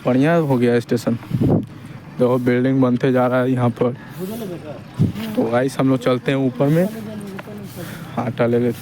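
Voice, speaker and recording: A man's voice: little pitch movement, measured pace, long pauses, crowd noise. A man with a Bihari accent chatting informally to his viewers as a travel vlogger. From Bihar, India. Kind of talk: casual vlog chat to camera. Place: busy outdoor market, railway station and train. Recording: noisy station and street, vehicle rumble. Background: loud traffic, engine and station noise.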